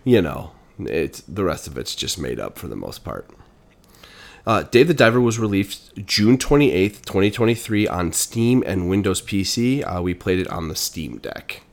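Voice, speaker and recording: A man co-hosting a casual video game podcast, sounding stuffed up from a cold, chatting in a relaxed way. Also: deep voice, normal speed, vocal fry. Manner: relaxed, chatty, reads synopses aloud. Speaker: podcast co-host.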